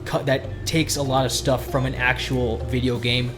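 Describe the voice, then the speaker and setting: Brief: male podcast talker, casual and discursive, restarts his points as he explains, clearly audible music underneath. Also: medium pitch, little pitch movement, moderate pace. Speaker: podcast guest.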